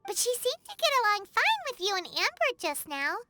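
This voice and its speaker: A squeaky little fairy guide bobbing beside a video game hero, curious and blunt, her pitch leaping everywhere over music. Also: huge pitch swings, moderate pace, heavily acted. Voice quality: squeaky, childlike. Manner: speaks of self in third person. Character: small chirpy female fairy companion, childlike, blunt, curious.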